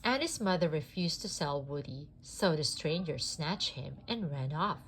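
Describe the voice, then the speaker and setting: A woman reads a children's storybook aloud. Her voice sits fairly low and moves slowly, with very lively, expressive rises and falls. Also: a little hiss or hum. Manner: deliberate, expressive. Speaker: storybook reader. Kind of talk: children's read-aloud narration.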